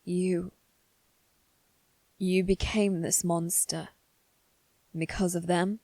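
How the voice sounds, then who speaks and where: A woman voicing a guarded, haughty young noble boy in a scripted ASMR roleplay, with measured, formal phrasing. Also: on the low side, flat delivery, mellow, dry recording. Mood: thoughtful, subdued. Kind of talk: ASMR roleplay, one-sided scripted dialogue.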